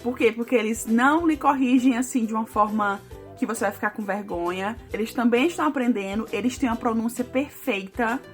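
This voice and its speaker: A woman's voice: fairly high pitch, brisk. Chatty Brazilian woman vlogger, faint music. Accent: Brazilian Portuguese. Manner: chatty, addresses viewers as "gente", frequent "né" and "sabe". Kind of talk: casual chat to camera.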